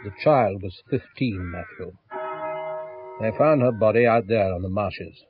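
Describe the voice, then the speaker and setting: An actor playing a grave, genteel, devout village man with a British accent, measured and courteous in 1970s radio horror. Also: on the low side, music in the background, lightly acted. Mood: somber. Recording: dull old radio recording.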